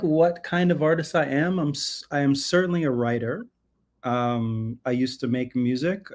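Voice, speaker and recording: A man in his thirties, from Toronto, answering interview questions in a thoughtful, hesitant way with lots of pauses and ums over a video call. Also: medium pitch. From Toronto, Canada. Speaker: guest artist. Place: home room with guitars on a video call.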